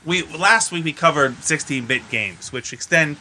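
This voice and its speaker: A man's voice: a little high, animated, normal speed, pushed and bright, a little hiss or hum. An American man bantering casually as a podcast co-host, with crude, sarcastic humor. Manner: crude, sarcastic joking, profane.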